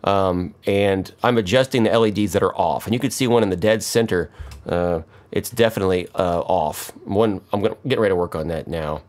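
A man's voice: sits low, brisk, a little mellow. A middle-aged American man giving casual, off-the-cuff how-to commentary in a wry, joking, self-mocking way. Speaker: electronics hobbyist, video host.

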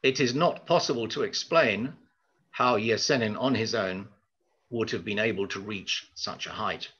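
Older male lecturer with a husky, bright voice. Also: on the low side. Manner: measured and formal, with hesitant pauses. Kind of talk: prepared lecture. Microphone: video call. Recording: slightly dull video call audio.

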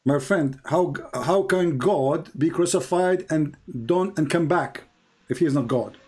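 A man's voice: a little slow, darkish tone, slightly rough. A man whose first language is Arabic, hosting and debating a caller, emphatic and repeating phrases for stress. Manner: emphatic, rises high when pressing questions. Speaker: host.

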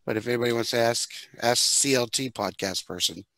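A man in his fifties talking casually as a producer on a panel, halting and emphatic, on a headset in a video call from home. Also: mid-range voice, fairly bright tone, no echo. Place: living room at home, indoors.

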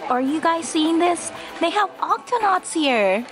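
A young woman with a hoarse voice, vlogging with a handheld camera as she browses toy aisles inside a store and gushes over what she finds. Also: very high voice, a little slow, a crowd in the background. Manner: excitable, gasping, gushing over finds. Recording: music playing underneath.

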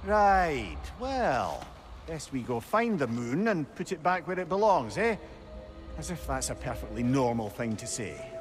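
A man's voice: mid-range voice, big pitch swings, a little slow, faint music behind. A man playing a chatty, worldly old companion in a video game, with a droll, witty way of talking. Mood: wry. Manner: droll, talkative, wryly witty. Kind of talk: video game dialogue acting.

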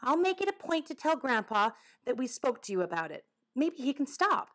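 A woman plays a young girl in a storybook, curious and full of wonder. Her voice is high, with big swings in pitch. She is polite and eager. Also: big pitch swings, normal speed, fairly bright tone. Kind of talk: children's storybook character voice.

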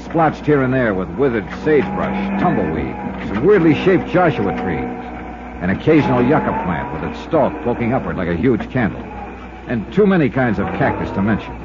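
An actor playing a tough, shrewd insurance investigator in a dry, hardboiled manner in a 1950s radio drama. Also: male voice, mid-range voice, big pitch swings, over loud music, close to an everyday voice. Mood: dry, descriptive. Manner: dry, hardboiled, deliberate phrasing. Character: tough, shrewd male insurance investigator narrating his case. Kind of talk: 1950s radio detective drama narration and dialogue. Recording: muffled 1950s radio recording.

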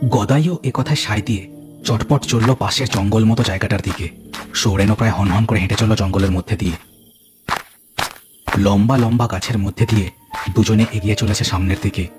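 A man's voice: lowish voice, steady tone, a little fast, long pauses, music in the background. A man telling a cartoon ghost story as narrator, with dramatic scripted delivery.

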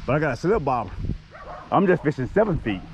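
A middle-aged man with a Southern US accent, talking casually and excitedly to his fishing vlog viewers, often repeating himself. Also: on the high side, fast, muffled recording, a crowd in the background. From Arkansas and Louisiana, United States. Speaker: fishing channel host. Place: outdoors by a neighborhood pond. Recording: noisy outdoor recording.

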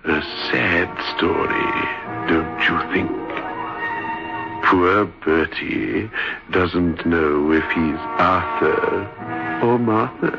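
Very deep, sinister horror host. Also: male voice, loud music behind the voice, full character voice. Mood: wry, sinister. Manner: deliberate, macabre, mock-sympathetic. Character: sinister, wry male host of a horror show. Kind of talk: old-time radio horror hosting. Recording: muffled old radio recording.

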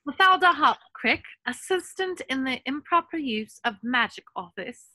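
A woman playing a clever, sensible young witch who gives brisk, bossy instructions. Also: sits high, animated, bright, forceful tone, no echo, full character voice, poor audio. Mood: firm, instructing. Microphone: video call.

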